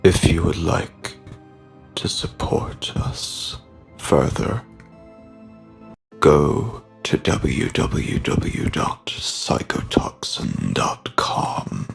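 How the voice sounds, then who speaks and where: A man voicing a ghoulish undead horror host in a breathy, rasping half-whisper, slow and theatrical, relishing the macabre. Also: extremely low pitch, very animated, faint music behind, exaggerated voice. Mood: sinister relish. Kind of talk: horror anthology host sign-off.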